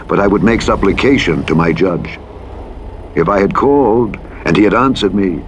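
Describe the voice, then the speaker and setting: A low-pitched male narrator reads scripture in a solemn and formal way. His delivery is measured and deliberate, like an audiobook Bible reading. A faint rumble of vehicle engine noise sits behind the voice. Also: dull audio. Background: truck simulator engine noise.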